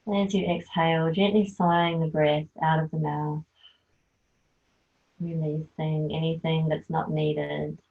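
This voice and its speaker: A woman guides a yoga nidra relaxation in a soft, soothing voice. She speaks slowly, low and even, with barely any rise or fall. The sound is thin and tinny, as if heard over a phone. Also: gravelly. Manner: slow, soft, soothing instruction.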